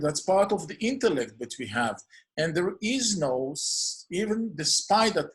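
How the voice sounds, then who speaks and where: An earnest man with an Israeli accent, his first language Hebrew, hosts a solo introduction to his program. His voice sits a little high, and he strings out phrases in lists of near-synonyms. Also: rough recording. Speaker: host.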